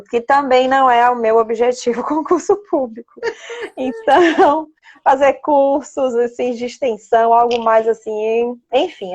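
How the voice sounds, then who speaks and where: A woman in her thirties with a Bahian Brazilian accent, chatting casually and informally as an interview guest. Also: fairly high pitch. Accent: Bahian Brazilian Portuguese. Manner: chatty, prone to laughing, checking with "né". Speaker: interview guest, child psychologist.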